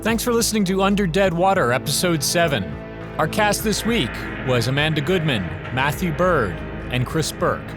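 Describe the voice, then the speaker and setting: A man announces the credits of a podcast from a script. His voice is a touch higher than most men's, with music playing clearly behind him. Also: animated, moderate pace. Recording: music bed under the voice.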